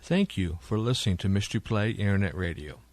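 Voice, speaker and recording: Low-voiced man, earnest radio host. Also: lively intonation, a little fast, no echo, clean studio sound. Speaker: podcast and internet radio host. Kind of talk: scripted appeal.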